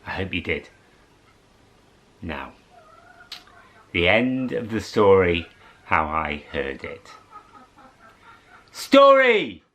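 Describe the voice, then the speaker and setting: A middle-aged man telling a children's story, dramatic, dropping to whispers and rising high for effect. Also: huge pitch swings, very slow, pauses often, fairly bright tone.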